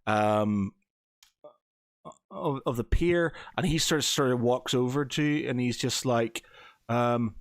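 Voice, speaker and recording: A man's voice: mid-range voice, takes it slowly, pauses often, no room sound, clean studio sound. A man running a tabletop game as game master, narrating casually in hesitant, improvised phrases.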